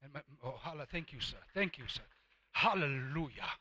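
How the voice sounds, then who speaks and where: A man with a South African accent and Afrikaans as his first language, preaching a sermon emphatically, repeating words for effect and swinging between hushed and loud. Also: fairly high pitch, normal speed, muffled recording.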